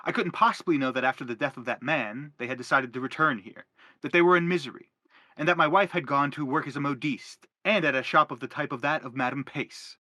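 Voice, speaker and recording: A man's voice: medium pitch, fairly quick, pushed and bright, no echo, close to an everyday voice. An actor playing a middle-aged father in a stage play, formal and declamatory as he anguishes and justifies himself. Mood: self-justifying. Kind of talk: theatrical stage play acting.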